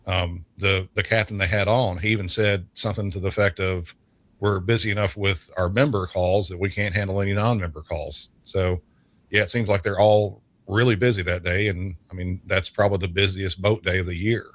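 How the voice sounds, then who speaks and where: A middle-aged man with a very low voice gives conversational expert commentary as an interview guest. The sound is dry, with little room around him. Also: steady tone, a little fast, a bit bright, dry recording. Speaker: 911 dispatcher as expert guest.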